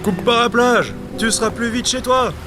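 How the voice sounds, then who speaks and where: An actor playing a friendly dockworker mate in a fantasy audio drama, calling out casually. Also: male voice, very high voice, normal speed, over music.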